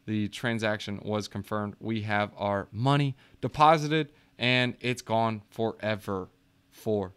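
A man's voice: fairly low pitch, a little slow, fairly bright tone, smooth. A man casually walking viewers through a screen share, joking and chatty, calling them guys. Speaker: crypto YouTuber.

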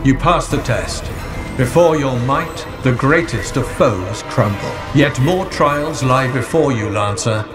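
An actor playing a solemn ancient lore-keeper in a game, speaking in grave, archaic, declamatory narration. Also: male voice, mid-range voice, lively intonation, a little slow, lightly acted. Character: solemn male ancient lancer lore-keeper presiding over trials. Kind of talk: scripted ceremonial game narration. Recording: echoey voice over game music.

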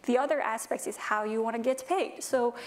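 Woman from afghanistan, english, earnest, lots of fillers, higher voice, conference panel. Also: fairly quick. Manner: earnest, many fillers, non-native phrasing. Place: large conference stage. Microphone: lapel mic.

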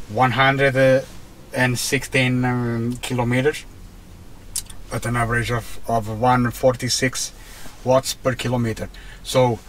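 A man's voice: medium pitch, a bit bright, a little hiss or hum, rough recording. A man with a Portuguese accent narrating a car test as a vlogger, hesitant, with many fillers and restarts, recorded inside a car. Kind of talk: casual narration while driving.

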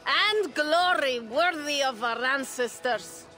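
An actress playing a boisterous, playful and proud Viking warrior in a video game, with a hearty, teasing delivery. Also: female voice, high voice, animated, slow pace, sharp tone, faint music behind, exaggerated voice. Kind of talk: video game cutscene acting.

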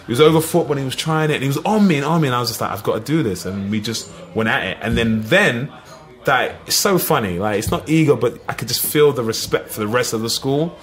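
A middle-aged man with an East London accent, telling lively stories as a podcast guest, full of "you know what I mean". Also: medium pitch, fast talker, soft background music. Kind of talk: casual storytelling.

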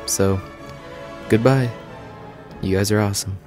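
A man playing an easygoing, resigned high school guy in a radio play. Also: fairly even intonation, a little slow, soft background music, lightly acted.

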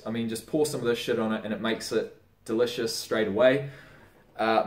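A young Australian man casually giving diet tips in a chatty, swearing, relaxed vlog manner. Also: mid-range voice. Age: young adult. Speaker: fitness vlogger. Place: indoor room by a window. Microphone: camera mic on desk. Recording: roomy, mic a bit distant.

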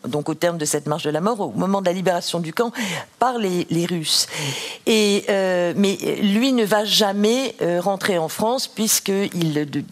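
A middle-aged woman, a novelist on a panel, telling a story in a lecture hall with many restarts, speaking into a headset mic. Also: medium pitch. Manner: animated, gesturing, many restarts. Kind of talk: storytelling in a public talk.

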